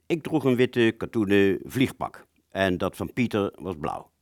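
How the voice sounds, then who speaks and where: A man acts out a wartime fighter pilot remembering his missions in a radio drama. The voice is low and swings expressively as he searches his memory. It sounds very dry and close. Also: animated, a little slow, no room sound. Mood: reflective. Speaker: storytelling narrator of a radio play. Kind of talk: reflective first-person radio drama narration.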